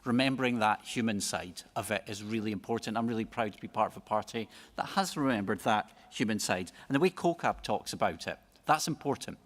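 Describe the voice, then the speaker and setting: A man's voice: lowish voice, brisk, hardly any pauses. A man speaking reflectively on a conference panel, building to emphatic points. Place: large conference hall. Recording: reverberant conference hall.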